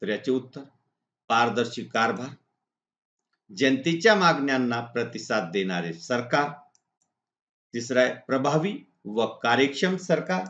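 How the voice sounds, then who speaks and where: A man with a Maharashtrian accent, a teacher reading out lesson answers point by point in a deliberate, instructional way. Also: medium pitch, fairly bright tone. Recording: echoey room, dull lo-fi sound.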